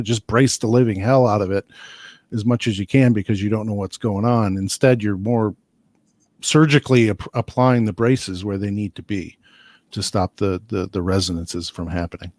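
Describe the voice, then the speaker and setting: A man's voice: fairly low pitch, moderate pace, a little mellow, no room sound. A man engineer explaining speaker design in a loose, technical panel chat, full of restarts and asides. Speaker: loudspeaker engineer.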